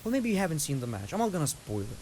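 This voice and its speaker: A man's voice: fairly high pitch, big pitch swings, racing, darkish tone, slightly rough. A young man from Lithuania with a Lithuanian accent, giving blunt, casual reaction commentary and talking straight to his viewers. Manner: blunt, keeps addressing viewers as "people". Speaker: wrestling reaction host.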